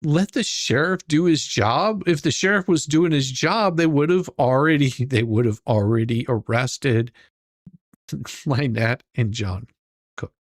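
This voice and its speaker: A man's voice: medium pitch, measured pace, dry recording, studio quality. A man hosting his channel, reacting in casual commentary and rambling as he repeats words while he thinks. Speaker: channel host reacting to a stream.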